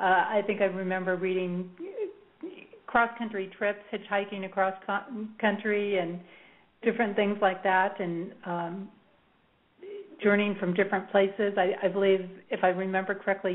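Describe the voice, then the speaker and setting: Female interview host, friendly and chatty, restarts often; narrow, phone-like audio. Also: mid-range voice. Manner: warm, chatty, with small laughs and restarts. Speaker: radio show host. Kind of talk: interview hosting. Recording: tinny phone-like line.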